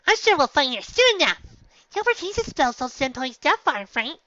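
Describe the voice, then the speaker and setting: A woman's voice: high-pitched, sing-song, brisk, pushed and bright, lo-fi sound, pitched above the performer's own voice, full character voice. A woman voicing a bubbly, cheerful female pink pony who talks in an upbeat way.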